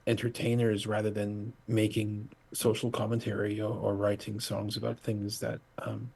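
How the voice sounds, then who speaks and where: A middle-aged man from Portugal, a musician guest talking in a halting, casual way full of fillers and restarts over a video call. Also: lowish voice, completely flat delivery, creaky, a little mellow, slightly rough. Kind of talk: casual interview chat.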